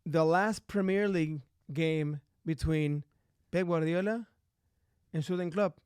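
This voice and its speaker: A man's voice: a little high, measured pace, darkish tone, clean tone, no room sound, clean studio sound. A man co-hosting a soccer podcast, playful and chatty, switching freely between English and Spanish. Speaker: podcast co-host. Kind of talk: casual sports chat.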